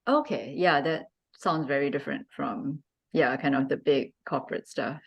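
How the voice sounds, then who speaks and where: A woman from Singapore, a guest author on a video call, soft-spoken and agreeable. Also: low voice, normal speed, creaky, breathy edge, muffled recording. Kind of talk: casual video-call chat.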